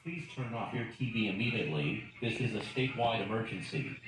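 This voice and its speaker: A man's voice: on the low side, expressive, brisk. Echoey man reading an emergency alert. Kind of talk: formal scripted emergency announcement. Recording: echoey, distant, clearly noisy.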